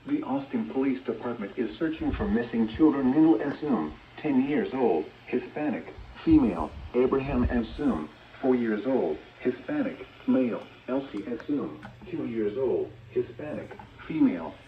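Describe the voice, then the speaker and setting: A man's voice: mid-range voice, animated. Tinny synthetic male weather radio announcer. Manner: formal, measured synthetic delivery. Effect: synthetic voice over radio. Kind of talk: automated emergency bulletin readout. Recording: tinny narrowband radio, distant, faint noise.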